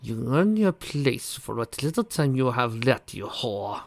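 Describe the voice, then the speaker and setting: A man voicing a cruel, arrogant male villain who talks in a slow, sneering, menacing way. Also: medium pitch, very animated, gravelly, no echo, exaggerated voice.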